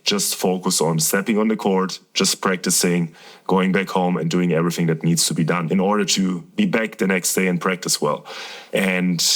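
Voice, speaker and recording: German tennis pro in his 30s, very low voice, candid rambling guest. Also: a bit bright. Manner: earnest, candid, rambling storytelling. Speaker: guest, professional tennis player. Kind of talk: casual interview talk.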